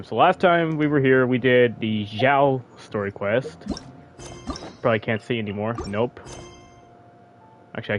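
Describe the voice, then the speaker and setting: A man live-streaming a video game, chatting in a loose, rambling, informal way. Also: medium pitch. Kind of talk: casual live-stream chat while playing. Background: faint game music.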